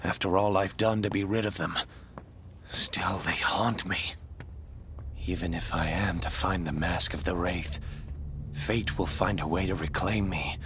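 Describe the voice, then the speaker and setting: An actor playing a brooding, haunted warrior prince in a solemn, breathy dramatic game monologue. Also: male voice, sits low, a little slow, a little hiss or hum. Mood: bitter, haunted. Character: brooding haunted warrior prince, adult man, grimly determined. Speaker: video game protagonist. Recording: loud music under the voice. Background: loud music.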